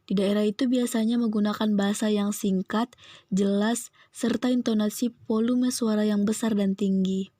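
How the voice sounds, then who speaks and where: A young woman reads her section of a class presentation in a flat, steady monotone. Her voice is mellow and sits close to the microphone in a dry, dead-sounding space. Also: mid-range voice, moderate pace, no room sound. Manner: flat, even recitation. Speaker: student presenter. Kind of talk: reading a school assignment.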